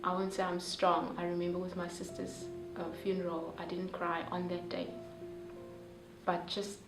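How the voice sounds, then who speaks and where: A woman's voice: on the low side, completely flat delivery, moderate pace. A young woman, sharing heartfelt, hesitant personal reflections. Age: young adult. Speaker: young reader reacting to a story. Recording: roomy, distant, with music behind.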